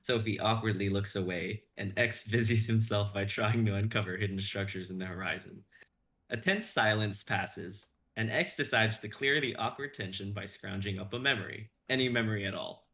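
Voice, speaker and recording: Young male narrator for script readings, fairly low voice, reads scene descriptions and stage directions. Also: normal speed, fairly bright tone. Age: young adult.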